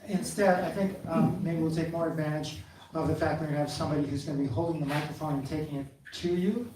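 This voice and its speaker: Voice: medium pitch, fairly even intonation, fast. Hesitant moderator in a noisy echoey hall. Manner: hesitant, with fillers and self-corrections. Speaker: event moderator. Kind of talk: hosting a live forum. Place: large hall at a live forum. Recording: echoey hall, far from mic, audible room noise.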